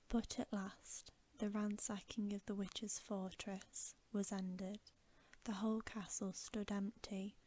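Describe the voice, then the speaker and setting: Slow, whispering woman reading a bedtime story. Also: mid-range voice. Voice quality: soft, breathy whisper. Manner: gentle, unhurried narration. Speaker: ASMR storyteller.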